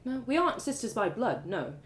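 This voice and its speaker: A woman reader plays a friendly, talkative townswoman from a storybook. She speaks in an animated, swooping way, chatty and emphatic, reassuring the young newcomer she guides. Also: on the high side, a little fast, reverberant, voice pushed up. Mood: warm, thoughtful. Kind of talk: storybook character voice.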